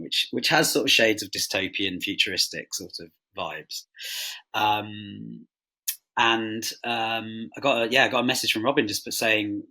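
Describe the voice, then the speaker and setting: Bright, low-pitched man, wry and casual. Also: poor audio. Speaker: writer. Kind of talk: casual interview talk.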